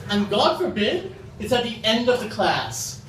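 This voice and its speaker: The young amateur comic at an open mic night, an animated man heard from the back of a noisy room. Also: high voice, a little slow. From Dubai. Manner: animated, acts out short quoted exchanges. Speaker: amateur stand-up comedian. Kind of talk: stand-up comedy at an open mic. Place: open mic comedy venue in front of an audience. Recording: echoey, noisy room recorded from far off.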